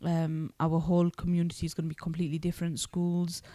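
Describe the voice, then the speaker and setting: Low, flat-voiced woman in her thirties. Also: quick pace, no room sound. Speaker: podcast guest, older sister. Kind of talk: casual sibling chat.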